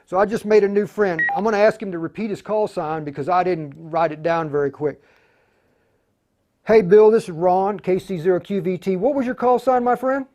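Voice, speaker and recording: A man's voice: sits high, normal speed, a little mellow. A man hosting a casual hands-on livestream tutorial, explaining in an informal, stop-and-start way as he thinks aloud. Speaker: livestream tutorial host, amateur radio operator.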